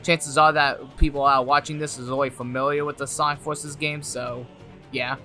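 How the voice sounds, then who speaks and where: A video game reviewer narrating an enthusiastic scripted review in an animated, exclamatory and emphatic way. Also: medium pitch. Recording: music playing behind. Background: music.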